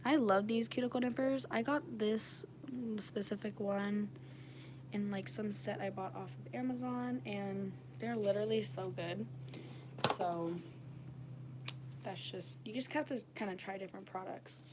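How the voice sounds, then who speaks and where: A woman with a raspy, slightly under-the-weather voice, chatting casually and informally as she demonstrates nail work on a livestream. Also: medium pitch, measured pace. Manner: informal, frequent "like". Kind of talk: casual live chat while demonstrating. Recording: clearly noisy home livestream recording. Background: washing machine noise.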